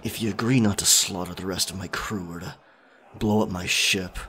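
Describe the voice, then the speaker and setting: A man acting out a defiant, roguish, flirtatious captured pirate captain in a husky-voiced ASMR roleplay, with wry, theatrical phrasing. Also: low voice, steady tone, moderate pace, bright, forceful tone, a little hiss or hum. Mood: commanding, bitter. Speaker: audio roleplay performer. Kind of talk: ASMR roleplay acting, one-sided dialogue.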